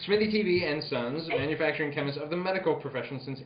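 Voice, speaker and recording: A man's voice: on the high side, fast, very noisy. A man co-hosting and announcing in a loose, jokey old-time radio style. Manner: joking, loose. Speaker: co-host and announcer. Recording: echoey, dull room with people around.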